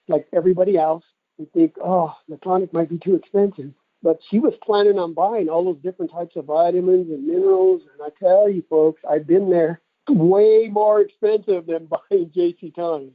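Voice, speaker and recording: Middle-aged American male, slightly high voice, folksy rambling product pitch, thin phone-call sound. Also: dry recording. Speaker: product promoter giving a testimonial on a conference call. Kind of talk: informal testimonial sales talk. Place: telephone conference call. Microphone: telephone. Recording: tinny band-limited phone line.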